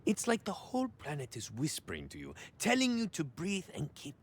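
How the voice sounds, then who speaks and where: A man's voice: on the high side, huge pitch swings, moderate pace, a little hiss or hum. An actor playing a cocky, rambling cyborg ex-soldier in a video game, with a Mexican-American accent and bits of Spanish mixed into his hesitant, theatrical talk. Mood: wistful. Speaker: playable game character. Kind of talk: video game idle monologue acting.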